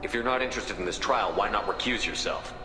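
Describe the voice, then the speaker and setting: A man's voice: on the low side, fast talker, natural voice. An actor playing a composed male military commander in a video game, speaking in a direct, businesslike way. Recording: echoey hall reverb, music behind.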